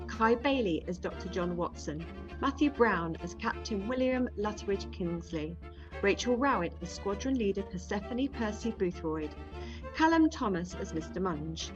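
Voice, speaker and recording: A woman narrates the scripted credits of a radio drama. Her voice is of average pitch, slightly dull in sound, with music playing behind.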